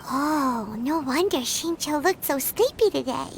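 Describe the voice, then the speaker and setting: A woman voicing a tiny, excitable floating girl companion who chatters and refers to herself by name, in video game dialogue. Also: sits high, unhurried, a little hiss or hum. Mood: chatty. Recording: game audio with music underneath. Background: game music.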